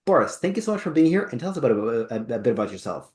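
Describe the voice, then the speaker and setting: Man, podcast host, mid-range pitch, halting delivery, roomy echo. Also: big pitch swings, darkish tone. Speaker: podcast host, personal trainer. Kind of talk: podcast interview. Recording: echoey room.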